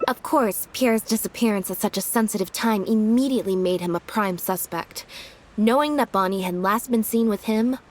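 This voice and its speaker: A woman playing a composed, sharply logical detective in a video game, explaining in a measured way. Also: mid-range voice, close to an everyday voice. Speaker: detective character. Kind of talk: video game story voice acting. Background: faint music.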